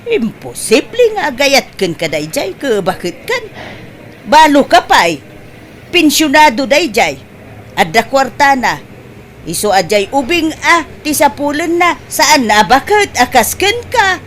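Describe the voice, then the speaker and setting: Woman acting a slow, sharp-tongued Ilocano woman. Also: high voice, very animated, slight background noise, full character voice. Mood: insistent. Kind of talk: comedy drama acting.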